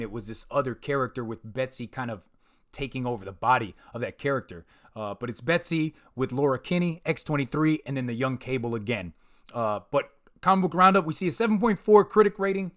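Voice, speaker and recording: A man's voice: mid-range voice, a bit bright. A man hosting a live comic review stream in casual chat, laid-back and slangy, often stumbling and repeating words. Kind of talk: casual livestream chat, reading viewer comments.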